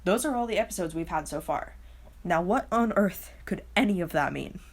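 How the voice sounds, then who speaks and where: A woman with a slightly low voice hosts a video recap. She reads a casual script in a wry way, sounding openly baffled by what she presents. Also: animated, normal speed. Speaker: host of a video explainer.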